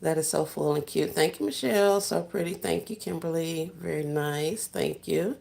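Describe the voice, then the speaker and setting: Soft-spoken low woman with a gravelly edge. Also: moderate pace. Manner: soft-spoken, chatty, talks to viewers while working. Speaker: livestream host making gift baskets. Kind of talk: casual livestream chat. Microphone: phone set down while working.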